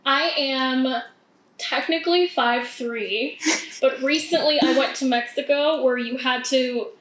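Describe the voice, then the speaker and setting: A woman from Los Angeles jokes her way through a casual panel chat, using "like" a lot. Her voice sits slightly high, and the room is echoey with a faint hiss. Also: measured pace. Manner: jokey, says "like" a lot. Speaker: co-host.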